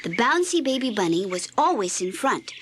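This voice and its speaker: A woman reading a scripted children's read-along story in an expressive, deliberate storytelling manner. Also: fairly high pitch, background noise. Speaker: storybook narrator.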